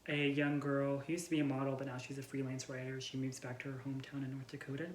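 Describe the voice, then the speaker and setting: A man's voice: medium pitch, completely flat delivery, quick pace. Man chatting casually in an echoey room. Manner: informal, filler-heavy with frequent "like". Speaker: book vlogger and co-host. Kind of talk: casual chat between friends about books. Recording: echoey room with faint noise.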